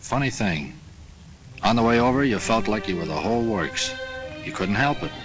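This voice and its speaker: American man, fairly low pitch, folksy, wry, colloquial soldier's voice-over, 1940s film sound, clear music. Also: animated, normal speed. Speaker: soldier narrating war experience.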